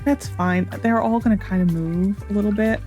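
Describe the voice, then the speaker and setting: A young woman narrates her gameplay in a hoarse, worn voice, wandering between thoughts with exasperated sarcasm. Her tone is dark and mellow, sitting at an ordinary pitch. Faint background music runs under her words. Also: normal speed. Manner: rambling, frustrated and sarcastic about the game. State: hoarse, sore voice. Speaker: gaming YouTuber. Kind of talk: casual gameplay commentary.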